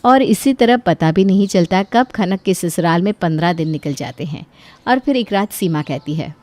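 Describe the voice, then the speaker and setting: A woman's voice: lowish voice, expressive, moderate pace, darkish tone, no echo. A woman giving scripted storytelling narration for a cartoon.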